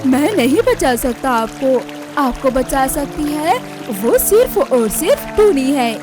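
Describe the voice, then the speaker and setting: A performer acts out an indignant young husband bird in a cartoon. The voice is high for a male figure and stern, reproaching others at a measured pace over music. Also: big pitch swings, heavily acted.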